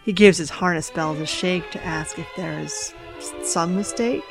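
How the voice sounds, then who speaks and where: A woman giving an expressive, reflective poetry recital with dramatic pauses. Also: on the low side, faint music behind.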